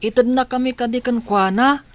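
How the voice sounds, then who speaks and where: An actress playing a worried, questioning village child in an Ilocano radio drama, with an Ilocano accent. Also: female voice, on the high side, fairly even intonation, moderate pace, dry recording, close to an everyday voice.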